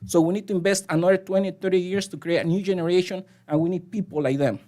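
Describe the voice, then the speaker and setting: A man with a Spanish accent, a native Spanish speaker, speaking earnestly and haltingly during public comment at a city council meeting. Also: high voice, fairly even intonation, dark tone. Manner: earnest, halting, with frequent restarts. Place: council chamber.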